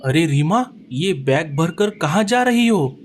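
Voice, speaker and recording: A man's voice: a little high, lively intonation, slow, slight background noise. A man voicing an easygoing, lazy male sparrow husband in a children's cartoon, grumbling and pleading. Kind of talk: cartoon voice acting.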